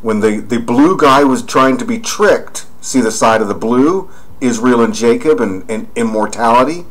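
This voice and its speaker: A man's voice: mid-range voice, moderate pace. A man narrating over screen images, rambling and pointing out details as he goes. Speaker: video narrator.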